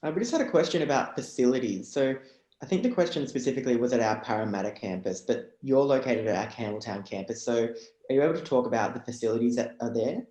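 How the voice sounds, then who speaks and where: A man hosting a live session, briskly reading out audience questions in an upbeat moderator's way. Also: medium pitch, animated, mellow. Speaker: host. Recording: echoey room.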